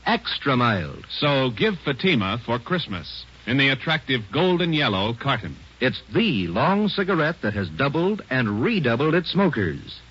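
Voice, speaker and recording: A muffled vintage radio broadcast carries a male announcer. He is husky and highly animated, selling emphatically in 1950s advertising style. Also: a little slow. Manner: emphatic sales delivery. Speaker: commercial announcer.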